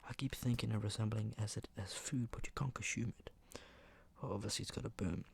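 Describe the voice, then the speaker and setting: A man speaking in a hushed murmur that slips into whispering, with a sore throat, casually showing items for ASMR. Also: fairly low pitch, a little fast, vocal fry, husky. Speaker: ASMR video creator. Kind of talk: ASMR, soft casual product show-and-tell.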